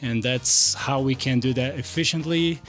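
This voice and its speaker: A man's voice: mid-range voice, moderate pace, fairly bright tone, soft background music. A man, an MBA student answering interview questions in a careful, earnest way. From Brazil.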